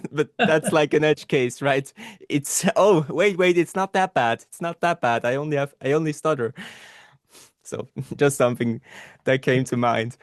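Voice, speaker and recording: A man's voice: on the high side, unhurried, dry recording, clean studio sound. A man hosting a support group meeting over a video call, talking casually and stuttering, with repeated sounds and restarts.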